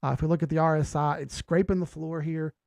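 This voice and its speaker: Gravelly, higher-pitched man streaming casually. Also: steady tone, fast, a little mellow, no room sound. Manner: informal, slangy, thinking aloud. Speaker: host of a live market analysis stream. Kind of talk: casual live chart commentary.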